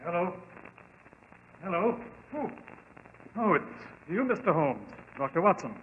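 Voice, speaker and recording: An actor playing a nervous, shocked gentleman who speaks haltingly in 1940s radio drama style. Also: sits high, sing-song, narrow, tinny audio. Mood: shocked, anxious. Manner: halting, agitated. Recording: thin, noisy vintage radio recording.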